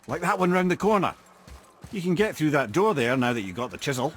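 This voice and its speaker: Lively old Scottish storyteller. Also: male voice, a little high, fairly quick, slight background noise. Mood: helpful, wry. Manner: articulate, loquacious, storytelling. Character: wry, learned old male storyteller and guide. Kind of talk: video game dialogue acting.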